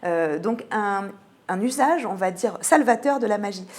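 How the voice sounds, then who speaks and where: A woman gives interview answers as a cultural programmer. Her voice sits at a medium pitch, and she hesitates often, stretching pauses between phrases as she explains. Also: lively intonation. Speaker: theatre cultural programming manager, radio guest.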